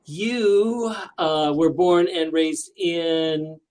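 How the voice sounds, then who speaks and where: A man's voice: a little high, extremely slow, darkish tone, rough recording. A man hosting a radio talk show, asking his guest questions in an easygoing way. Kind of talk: interviewing a guest.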